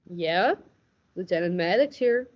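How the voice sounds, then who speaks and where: A young woman playing a cynical, hardboiled police lieutenant with deadpan, tough-talking noir narration in a radio play parody. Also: lowish voice, measured pace, slight background noise. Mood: gruff, curt. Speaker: student radio play actor. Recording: dull, muffled lo-fi recording.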